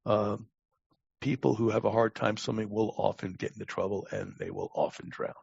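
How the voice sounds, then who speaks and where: Gravelly older New man, wry storyteller. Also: lowish voice, very animated, darkish tone, no room sound. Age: 60s. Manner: halting, repeats words, wry. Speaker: podcast guest, longtime lifeguard. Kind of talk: casual interview storytelling. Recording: dull, lo-fi close recording.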